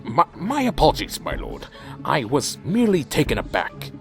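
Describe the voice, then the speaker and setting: An actor playing a troubled, earnest vampire leader who speaks formally and solemnly in an audio drama. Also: male voice, fairly high pitch, wildly swooping pitch, moderate pace, gravelly, over music, full character voice. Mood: deferential, pleading. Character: earnest, troubled male vampire clan leader, proud yet deferential. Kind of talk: audio drama narration and dialogue.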